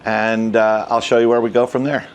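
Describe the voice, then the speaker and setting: An older man in his sixties giving a casual step-by-step tutorial outdoors as host, with halting, deliberate delivery. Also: mid-range voice, little pitch movement, lo-fi sound, a little hiss or hum. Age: 60s. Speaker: host, ham radio hobbyist. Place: outdoors, residential backyard and covered patio.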